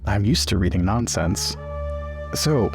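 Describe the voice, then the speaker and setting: A man improvising in character and narrating as a player in a tabletop game, measured and careful. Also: on the low side, animated, soft background music. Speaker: player in a tabletop role-playing podcast.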